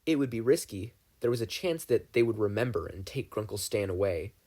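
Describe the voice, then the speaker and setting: A man narrating a fan fiction audiobook with expressive storytelling. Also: on the low side, brisk. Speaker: podfic reader.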